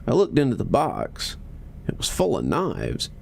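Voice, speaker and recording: A man reads a horror story as a dramatic narrator. His pitch moves in lively swings, and a creaky, fried edge runs through the voice. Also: a little slow, a little hiss or hum.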